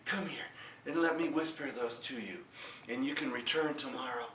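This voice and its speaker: A man voicing a clever young peasant girl who gently chides her father, recorded in a roomy space from a distance. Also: sits high, normal speed, a little hiss or hum. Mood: fond. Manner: lightly chiding, reassuring. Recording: roomy echo, distant mic.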